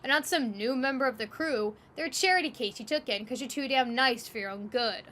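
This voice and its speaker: A woman's voice: high voice, fairly quick, a bit bright. A woman voicing an abrasive, hot-tempered graffiti artist in an audio drama, brusque and scornful.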